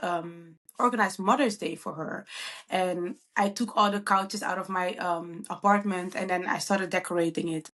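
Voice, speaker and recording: A bubbly woman. She answers interview questions casually, with frequent ums and uhs. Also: fairly low pitch. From Netherlands. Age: 20s. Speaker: guest, event planner.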